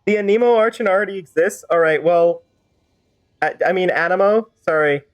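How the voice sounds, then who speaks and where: High-voiced man rambling on a game stream. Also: moderate pace. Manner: rambling, chatty. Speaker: livestreamer. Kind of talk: casual game stream commentary with playful role-play. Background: game music.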